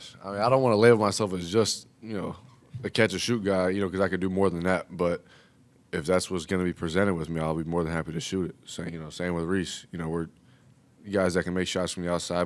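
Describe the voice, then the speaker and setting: A young man, a college basketball player, answering questions at a postgame press conference, relaxed and full of "you know". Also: deep voice, a little fast. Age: young adult. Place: press room, seated at table. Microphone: table mic.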